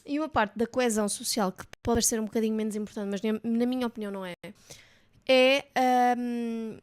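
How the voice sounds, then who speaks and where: A woman's voice: fairly high pitch, fairly even intonation, brisk, clean tone. Indignant Portuguese woman co-host. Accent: European Portuguese. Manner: indignant, emphatic, hesitant fillers. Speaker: podcast co-host. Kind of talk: casual political chat.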